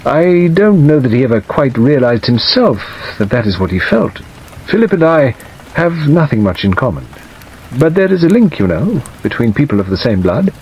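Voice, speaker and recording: A male reader plays a middle-aged gentleman of weak, fretful temper in an audiobook drama. He speaks haltingly, qualifying himself, with a pitch that lifts and falls widely. The sound is muffled, with rain pattering behind. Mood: sorrowful, confiding. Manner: hesitant, halting, self-qualifying. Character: weak, plaintive middle-aged English gentleman, hesitant, irritable, wistful. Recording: dull audio under steady rain noise.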